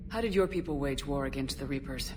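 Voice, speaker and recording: Game lead: very low voice, direct, composed space officer, noisy ambience behind. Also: female voice. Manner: measured, direct questioning. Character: composed, inquisitive female military commander in space. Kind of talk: video game character dialogue. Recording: clear background noise, ship ambience.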